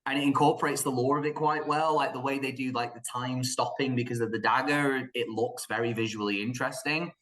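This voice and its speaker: Animated man chatting about films. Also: mid-range voice, brisk, hardly any pauses. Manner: animated, joking, acts out mock quotes. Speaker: podcast panelist reviewing films. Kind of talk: casual chat, opinionated film commentary.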